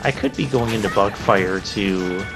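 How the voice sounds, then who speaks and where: A man's voice: on the low side, moderate pace, gravelly. A man giving casual let's play commentary on a video game, chatty and informal, thinking aloud as he plays. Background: game music and crashing effects.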